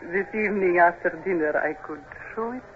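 A woman's voice: lowish voice, a little fast, lightly acted. Coy young countess played by a woman. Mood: inviting. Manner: genteel, coy. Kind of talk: 1950s radio drama acting. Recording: dull, noisy 1950s radio recording.

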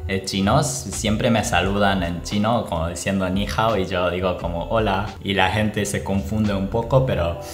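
A young man from Japan with a Japanese accent, speaking non-native Spanish in a casual, expressive vlog style to camera. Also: low-pitched, normal speed, few pauses. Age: young adult. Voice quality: non-native Spanish with small grammar slips. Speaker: vlogger. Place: small plain indoor room. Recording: roomy echo. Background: music.

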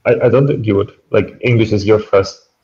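A young German man speaks English with a faint Irish lilt, rambling along with lots of fillers. His voice sits a little low. The call audio is dull and lo-fi. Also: a little fast, mellow. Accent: German, with a slight Irish tinge. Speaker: meetup participant. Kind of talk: casual video-call chat. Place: bright room at home with shelves, indoors. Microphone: headphones, laptop on a video call.

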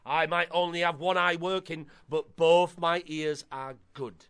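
A man's voice: a little high, steady tone, unhurried, a bit bright, smooth. A man voicing a horrible, bossy old aunt from a folk tale, with a cackling old lady voice and a scolding manner. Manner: scolding, repetitive demands. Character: horrible old village aunt, bossy, grudging, drum-hating.

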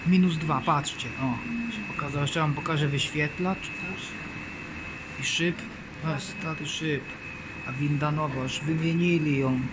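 High-voiced young man, noisy phone recording. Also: steady tone, unhurried, pauses often. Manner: addresses viewers, enthusiastic about lifts. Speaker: lift enthusiast vlogger. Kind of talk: casual handheld video commentary. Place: indoor lifts and lift lobbies. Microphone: handheld phone. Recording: noisy handheld phone in lift areas.

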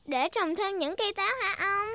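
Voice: extremely high pitch, moderate pace, pushed and bright, no echo. An actor voicing an eager, polite little girl pig in a dubbed preschool cartoon. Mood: curious, eager. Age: child character. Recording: music under the voice.

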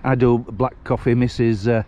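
A man's voice: medium pitch, flat delivery, moderate pace, warm tone, muffled recording, slight background noise. A man in his sixties with a Northern English accent, chatting in a rambling, folksy way as he vlogs on a walk. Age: 60s. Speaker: vlogger. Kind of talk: casual walking vlog chat. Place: outdoors on a seafront street. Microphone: handheld camera while walking.

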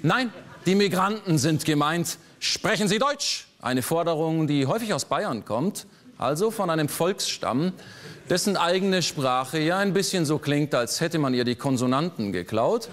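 A man in his forties performing a political cabaret monologue on a theater stage, sardonic, landing dry punchlines with sudden jumps in pitch. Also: expressive, normal speed. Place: large theater stage before a live audience.

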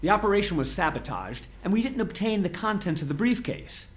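Cold, composed government official. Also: male voice, sits high, very animated. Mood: cold, formal. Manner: measured, formal, detached. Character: cold composed male government official, coolly bureaucratic and menacing. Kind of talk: dramatic video game cutscene acting.

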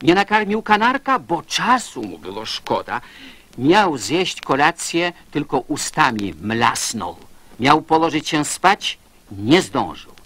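A man recites a children's poem as its narrator. His voice sits fairly high and moves at a slow pace, rising and falling in an expressive, lively way. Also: slightly rough.

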